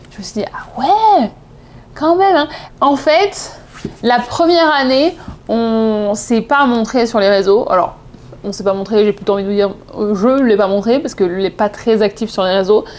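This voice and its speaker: A woman's voice: on the high side, darkish tone. A woman in her thirties from southeast France with a French accent, telling personal stories in a chatty, digressive vlog style, often correcting herself. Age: 30s. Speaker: vlogger. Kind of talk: casual personal storytelling to camera.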